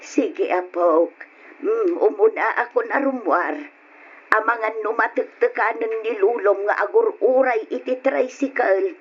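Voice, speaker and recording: An actress playing a caring, solemn old grandmother with an Ilocano accent, gently admonishing in old radio drama style. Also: fairly high pitch, a little slow, breathy edge. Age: older. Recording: noisy lo-fi radio drama recording.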